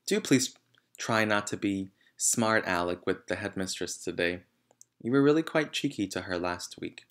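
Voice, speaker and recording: Storybook schoolmistress, played by a man: gentle, earnest, politely firm. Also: sits low, normal speed. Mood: cautioning. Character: gentle but firm young female schoolteacher.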